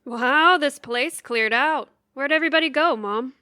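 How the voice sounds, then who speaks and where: A woman's voice: sits high, unhurried, pushed and bright, no room sound, studio quality. A woman playing a brash, wisecracking grown daughter in a comedic audio drama, blunt and wry. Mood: surprised, wry.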